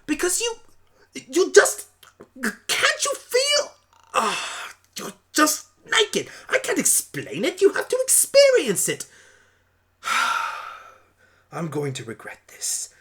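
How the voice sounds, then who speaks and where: A man's voice: extremely high pitch, huge pitch swings, slow pace, pauses often, sharp tone, voice pushed up, exaggerated voice. A man voicing a brainy, flustered, eccentric time-traveling doctor, wordy and pedantic, in a fan audio drama. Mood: awkward, exasperated.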